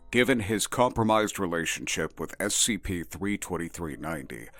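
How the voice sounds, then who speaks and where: A man playing an officious narrator reading classified research files in an audio drama, clipped, formal and deadpan. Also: low voice, no echo, full character voice.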